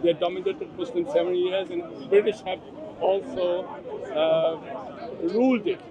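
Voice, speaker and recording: A man's voice: high-pitched, fairly even intonation, measured pace, lo-fi sound. A man from India, earnestly explaining his beliefs at length in an outdoor street debate, with many restarts. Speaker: visiting debater. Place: outdoor park. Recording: outdoor crowd noise. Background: crowd chatter.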